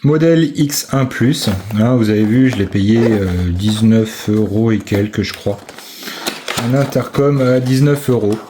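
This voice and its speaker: A man talks through a product in a casual vlog style. His voice is fairly low and mellow, and he goes very slowly, pausing hesitantly as he thinks aloud. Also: slight background noise. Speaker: amateur video maker reviewing a purchase. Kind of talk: casual product walkthrough and unboxing commentary.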